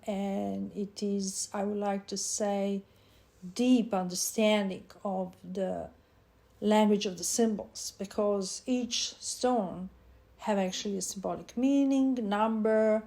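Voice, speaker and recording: A woman from Serbia, speaking, presents her book with slow, halting speech and frequent pauses. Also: medium pitch, dark tone. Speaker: author and divination teacher.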